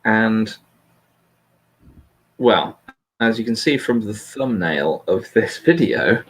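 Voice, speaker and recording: A man talking casually through politics, in a measured, questioning way that hedges his claims. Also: fairly low pitch, animated, a bit bright, slight background noise, low-quality recording. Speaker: host discussing a political transcript. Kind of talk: casual conversational political discussion.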